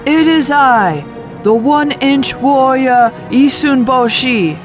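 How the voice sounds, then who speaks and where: A woman's voice: high voice, slow pace, over music, pitched above the performer's own voice. A woman voicing a brave, defiant one-inch young samurai in a children's folktale, calling out bold challenges. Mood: defiant, proud. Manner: bold, declamatory, shouting challenges.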